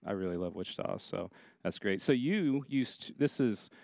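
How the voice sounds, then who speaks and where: Very low-voiced host from Ohio. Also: female voice, moderate pace, gravelly, no room sound, studio quality. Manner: relaxed, brief affirmations. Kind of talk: casual interview chat.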